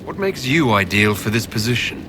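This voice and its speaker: A man's voice: medium pitch, lively intonation, moderate pace, fairly bright tone, a little hiss or hum, lightly acted. An actor playing a commanding, pragmatic male hero in a fantasy video game, speaking directly and with authority. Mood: brisk, inquiring.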